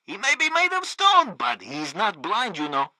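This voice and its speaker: A man's voice: sits high, huge pitch swings, normal speed, bright, forceful tone, no room sound, full character voice. An actor playing a pompous, fawning radio news host in a satirical game, grandiose and theatrical in his announcements. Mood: gleefully proud. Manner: grandiose, sycophantic. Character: pompous, fawning male propaganda radio host, gleefully loyal.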